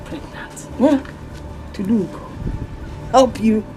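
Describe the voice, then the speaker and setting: A woman's voice: a little high, takes it slowly, mellow. An actress playing a stern, scornful middle-aged mother in a drama, with a Nigerian Igbo accent and a sarcastic, scolding manner. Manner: scolding, sarcastic, repeats phrases. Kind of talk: Nigerian film drama acting. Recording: faint music behind.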